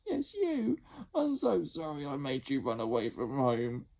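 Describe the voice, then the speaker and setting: A man voicing a girl, an older sister who is remorseful and caring, speaking softly and apologetically. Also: mid-range voice, huge pitch swings, measured pace, creaky, voice pushed up, full character voice. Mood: tearful. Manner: soft, pleading, apologetic.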